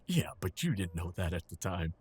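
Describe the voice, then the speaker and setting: A man acting a supportive older husband and father in an audio drama, steady, reassuring and given to gentle teasing. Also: low voice, normal speed, husky, no echo, exaggerated voice.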